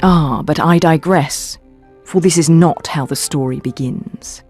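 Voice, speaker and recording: A man playing the storyteller who narrates a fantasy adventure game in a measured, scripted manner. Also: on the high side, darkish tone, soft background music, close to an everyday voice. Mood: reflective.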